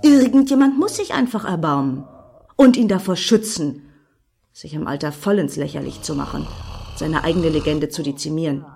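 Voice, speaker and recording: An actress playing a scheming, grandly solemn woman in a satirical radio play, speaking in deliberate, lofty phrases. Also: low-pitched, vocal fry, darkish tone, breathy edge, full character voice. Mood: solemn, purposeful.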